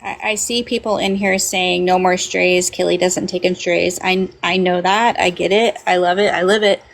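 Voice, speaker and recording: A woman's voice: lowish voice, steady tone, normal speed, vocal fry. A woman on a live stream, earnestly explaining herself and talking to the chat. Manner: earnest, reasoning, talks to chat.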